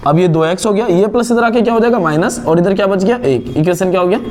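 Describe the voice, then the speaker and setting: A young man from India teaching a step-by-step math lesson, explaining slowly and asking and answering his own questions. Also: high voice, darkish tone.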